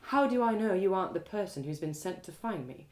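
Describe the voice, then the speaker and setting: A woman's voice: medium pitch, animated, fairly quick, darkish tone, lightly acted. A woman voicing a private, weary elf warrior in a storybook, speaking in a terse, aloof manner. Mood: guarded, suspicious.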